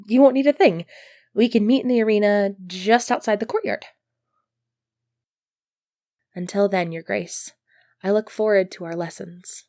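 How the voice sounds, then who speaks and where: A woman's voice: medium pitch, measured pace, pauses often, mellow, no echo, clean studio sound, lightly acted. A woman playing an earnest, easily flustered medieval knight devoted to her prince, speaking politely and formally in an ASMR roleplay. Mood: formal, eager. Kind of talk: ASMR roleplay acting, formal old-fashioned speech.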